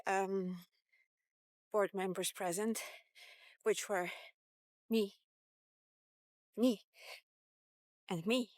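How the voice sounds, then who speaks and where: A middle-aged woman, not a native English speaker, with an accent mixing Irish and British influences, thinking aloud in short, halting fragments in a casual vlog monologue. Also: mid-range voice, no echo. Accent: non-native English with Irish and British influences. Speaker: vlogger.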